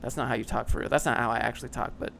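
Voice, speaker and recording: A man's voice: medium pitch, fairly even intonation, racing, creaky, a bit bright, slight background noise. A young man casually reading and answering chat on a livestream, dry and sarcastic, often rambling.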